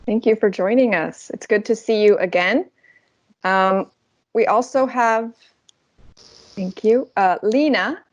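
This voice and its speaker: A woman's voice: mid-range voice, takes it slowly, long pauses, no room sound. A woman hosting an online class on a video call, welcoming each participant in turn. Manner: friendly, welcomes each participant with light laughs. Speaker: host.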